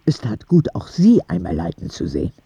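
A man narrating a radio drama in the first person as a cheeky boy, soft and husky. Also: low-pitched, huge pitch swings, brisk, dry recording, lo-fi sound, full character voice. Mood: wistful. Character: cheeky ten-year-old boy narrating his own story.

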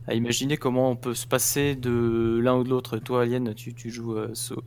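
A man's voice: mid-range voice, flat delivery, normal speed, creaky, a little mellow. A man talking casually on a podcast, laying out his view with stumbling repetitions. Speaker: podcast co-host. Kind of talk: casual roundtable chat.

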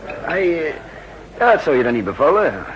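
An actor playing a cautious, guarded counter-espionage chief with a hesitant, clipped Netherlands Dutch delivery in a 1960s radio drama. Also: male voice, on the high side, sing-song, moderate pace, rough recording. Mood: hesitant, musing. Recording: hissy 1960s radio recording.

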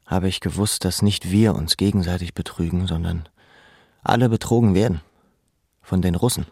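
Low, creaky male literary narrator. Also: normal speed, a little mellow, no echo, studio quality.

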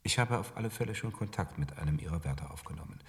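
An actor playing a sinister, servile criminal henchman, furtive and hushed, in a German radio drama. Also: deep voice, expressive, fast, gravelly. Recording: long reverberant hall echo.

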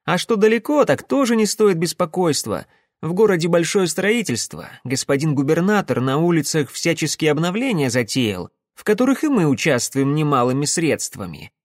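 A man's voice: fairly high pitch, big pitch swings, normal speed, dry recording, studio quality. A man voicing an anxious young merchant's son in an audiobook, boastful yet servile, with a fawning, self-important manner. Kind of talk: audiobook character voice.

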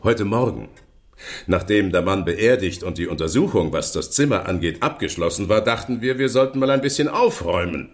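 An actor playing a self-assured, chatty police inspector in a German radio drama, explaining things in a smug, teasing way. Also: male voice, low voice, animated, a little fast. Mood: confiding.

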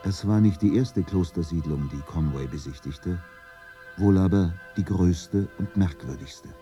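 A man narrating a literary radio drama in German in a measured, solemn, composed way. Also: low voice, warm tone, soft background music.

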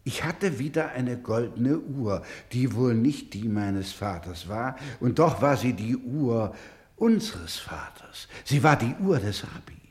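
A man acting a solemn, proud storyteller in a radio play, speaking gravely and deliberately, with emphatic swells and hushed drops. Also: mid-range voice, animated. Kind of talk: slow, dramatic radio-play narration.

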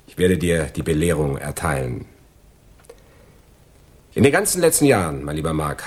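An actor playing a gloating, envious crook in a German radio crime drama, sardonic and lecturing in a mock-polite way. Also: male voice, low voice, slightly rough, exaggerated voice. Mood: gloating, bitter. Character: gloating, envious male crook, betraying his friend.

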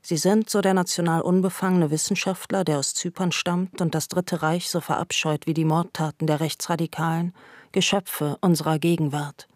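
Female narrator, measured and factual documentary-style reading, close dry studio sound. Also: sits low, steady tone, darkish tone, no echo.

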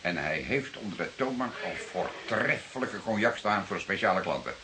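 An actor with a Netherlands Dutch accent playing a seasoned, wry, fatherly older police detective, speaking deliberately and a little ornately, as if lecturing, in a radio drama. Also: lowish voice, expressive, crowd noise, lightly acted. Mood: measured, instructing. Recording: hissy old radio play recording.

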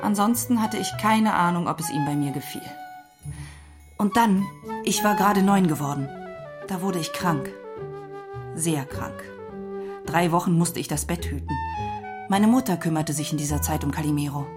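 A woman playing a firm, weary mother in a children's radio play, speaking in a measured way. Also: lowish voice, music in the background, lightly acted. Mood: reminiscing.

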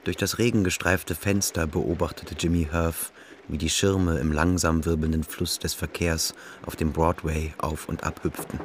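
A man's voice: very deep voice, flat delivery, moderate pace, a little hiss or hum. A man reading literary scripted narration as the narrator of a radio drama.

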